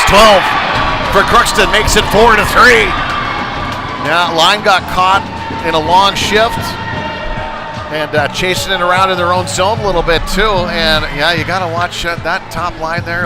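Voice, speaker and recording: A man doing live play-by-play hockey commentary as the announcer, dropping conversational asides between calls. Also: high-pitched. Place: ice rink, live at the game. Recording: live rink broadcast with music behind. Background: music over venue speakers.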